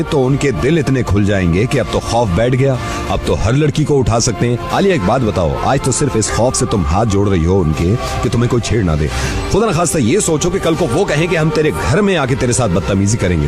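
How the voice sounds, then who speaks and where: Animated, impassioned male radio host. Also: mid-range voice. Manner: earnest, emphatic, exhorting. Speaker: radio prank-call host. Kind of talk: impassioned motivational phone talk.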